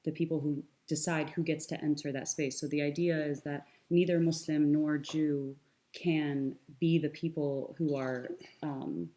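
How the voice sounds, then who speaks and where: A woman's voice: low-pitched, steady tone, a little slow, warm tone. A young woman from the United States, speaking as a guest in an earnest, thoughtful panel conversation, with frequent fillers and restarts.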